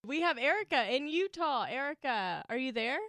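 A woman's voice: high voice, animated, moderate pace, fairly bright tone, a little hiss or hum. A woman hosting a podcast call-in segment, chatting bubbly and laughing often into a studio microphone. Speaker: podcast host. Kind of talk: casual call-in chat.

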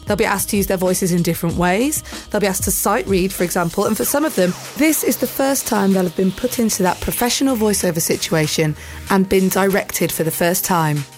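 A woman's voice: mid-range voice, a little fast, faint music behind. Upbeat woman podcast reporter. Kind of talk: scripted presenting.

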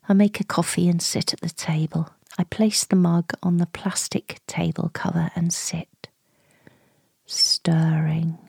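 Woman doing a worn-out middle-aged niece in a radio play, breathy n hushed, slow, creaky. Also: deep voice, no echo, studio quality, full character voice. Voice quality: breathy, whispery. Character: weary, grieving middle-aged niece caring for dying aunt. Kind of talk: intimate first-person radio drama monologue.

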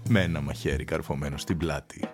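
A man narrating a radio play in a playful, theatrical way, dropping to hushed murmurs and swinging into sung phrases. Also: very deep voice, steady tone. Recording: studio radio play with loud music underneath. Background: music.

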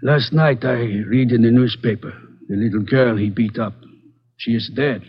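A man playing a bitter, husky old father speaking broken English. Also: mid-range voice, lightly acted. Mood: grave, bitter. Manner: halting, broken English, grave and slow. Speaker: grieving father. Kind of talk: 1940s radio acting. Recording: dull 1940s radio recording.

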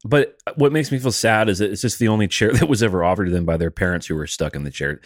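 A man's voice: on the low side, quick pace, dry recording, studio quality. A man chatting casually as a podcast co-host, blunt and profane but reflective. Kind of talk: casual chat.

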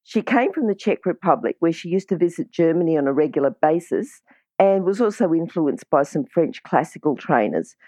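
Lowish-voiced woman hosting a chat. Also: expressive, moderate pace, mellow, no echo. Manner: chatty, interjecting agreement. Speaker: podcast interview host. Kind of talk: casual, conversational interviewing.

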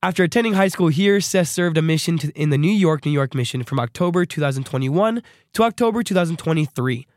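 A young man hosts a student radio interview from the studio. He has a fairly high voice that sounds dry and close to the mic. He keeps his questions short and upbeat. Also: fast, hardly any pauses, darkish tone, no echo. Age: young adult. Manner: upbeat, brief prompts.

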